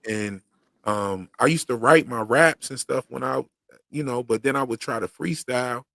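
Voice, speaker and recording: A man's voice: mid-range voice, moderate pace, gravelly, pushed and bright, no echo, clean studio sound. A man hosting his own channel's livestream, chatting casually in slangy, rhythmic talk. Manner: slangy, talks in a loose rhythm; says he once stuttered heavily. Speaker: YouTube channel host on a livestream.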